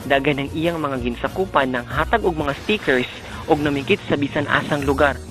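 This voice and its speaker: Filipino male narrator, slightly high voice, scripted radio drama storytelling, faint music behind. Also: moderate pace.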